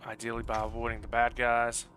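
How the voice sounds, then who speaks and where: A man's voice: medium pitch, completely flat delivery, brisk, a bit bright, slight background noise. A man giving casual gameplay commentary, exclaiming and talking to himself and the game. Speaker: gamer recording a playthrough.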